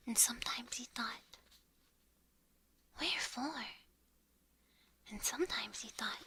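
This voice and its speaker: A woman softly whispering a storybook aloud on camera, often breaking into giggles, in an ASMR style. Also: on the high side. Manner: soft whispering with frequent giggles. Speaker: storybook reader. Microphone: on camera from the book up.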